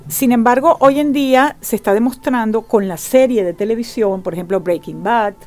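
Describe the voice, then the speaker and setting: A woman hosts a studio radio panel, her voice sitting a little high. She talks assertively and keeps interjecting. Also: normal speed, darkish tone. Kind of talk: panel discussion.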